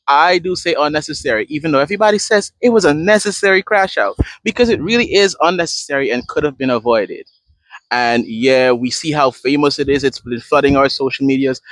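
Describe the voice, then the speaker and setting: A man's voice: fairly high pitch, a bit bright, dry recording. A man from Guyana, a therapist in training, explaining a coping tool step by step in a conversational talk. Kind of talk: direct-to-camera advice talk.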